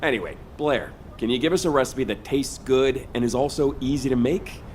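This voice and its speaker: An actor playing a keen, practical young soldier in a video game, talking in an earnest, chatty way. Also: male voice, medium pitch, fairly quick, darkish tone, a little hiss or hum, natural voice. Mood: earnest, curious.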